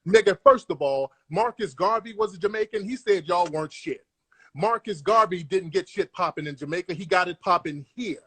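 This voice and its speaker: Husky high-voiced man grilling callers. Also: normal speed, bright, forceful tone. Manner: aggressive, interrogating, profane, repeats himself. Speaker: host of a live call-in room. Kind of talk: confrontational live-stream comedy bit.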